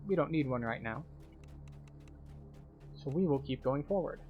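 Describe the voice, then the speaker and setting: A man's voice: mid-range voice, lively intonation, measured pace, phone-quality sound. Man guiding a game walkthrough over music. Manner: methodical, instructional. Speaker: gameplay tour guide. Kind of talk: walkthrough commentary of a video game.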